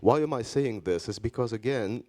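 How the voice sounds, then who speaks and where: A man from Belgium whose first language is French, giving a measured conference presentation in English with a Belgian French accent and frequent pauses. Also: mid-range voice, lively intonation, dark tone. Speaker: conference speaker, journalist.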